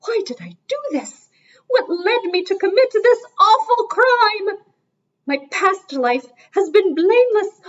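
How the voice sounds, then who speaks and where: A proud old male swan from a storybook, put on by a woman reading aloud, cries out his lines theatrically in a raised, high, strained voice. He scolds himself with lofty, formal dignity, and the audio is dull and lo-fi. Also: a bit bright, recorded from a distance. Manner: theatrical, self-reproaching.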